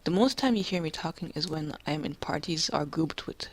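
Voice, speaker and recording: Low-voiced young woman, soft and halting. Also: husky. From Jamaica. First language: English. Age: 20s. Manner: soft-spoken, halting, quiet and calm. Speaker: gamer. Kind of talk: personal commentary.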